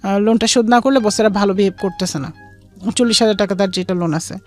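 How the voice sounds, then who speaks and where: A woman's voice: mid-range voice, quick pace, a little mellow. Bangladeshi woman telling her story over music. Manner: re-enacts conversations, quoting both sides. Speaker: guest telling life story. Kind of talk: personal storytelling in an interview. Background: background music.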